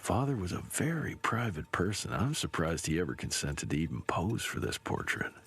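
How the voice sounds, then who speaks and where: A man voicing a gruff, dry-humoured vampire hunter in a video game, with a gravelly, husky voice. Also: deep voice, big pitch swings, moderate pace, fairly bright tone, exaggerated voice. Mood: wry, musing. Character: gruff, dry-humoured male vampire-hunting field agent.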